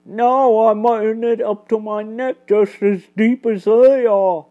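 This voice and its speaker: A woman's voice: fairly high pitch, steady tone, slow pace, narrow, tinny audio, voice pushed up. A woman voicing a frightened, humble male mouse carpenter in a storybook, pleading in folksy working-class speech. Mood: pleading, confessing.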